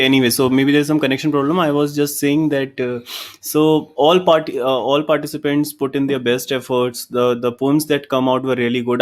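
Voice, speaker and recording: A man from India's Chambal region with a Hindi-influenced English accent, talking as a judge on a video call, hesitant with fillers and restarts. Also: mid-range voice. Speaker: judge and poet. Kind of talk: live online poetry event.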